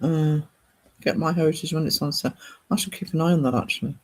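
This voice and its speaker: A man's voice: fairly high pitch, fast talker. A man with a British English accent chatting casually and ramblingly with livestream viewers, reading and answering their comments. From northern England. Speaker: livestream host.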